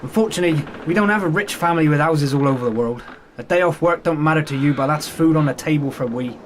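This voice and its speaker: A man's voice: on the high side, fast talker, slight background noise, natural voice. An actor playing a sardonic, resentful working-class fisherman with a blunt Cornish accent in a radio play. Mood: scornful, resentful. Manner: blunt, mocking, grumbling.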